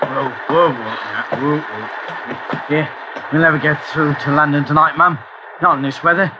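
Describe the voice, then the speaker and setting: Man doing grumbly folksy coach driver, highish voice, muffled old radio, lots of noise. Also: normal speed, close to an everyday voice. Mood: grumbling. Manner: folksy, colloquial. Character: plain-spoken, grumbling working-class male coachman. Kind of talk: 1940s radio acting. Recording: dull, noisy old radio recording.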